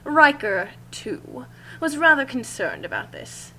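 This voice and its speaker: A woman's voice: high voice, huge pitch swings, takes it slowly, creaky, pushed and bright. A woman reading a first-person story aloud in a theatrical, emphatic manner. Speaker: reader of fan fiction. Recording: noisy amateur recording with hum.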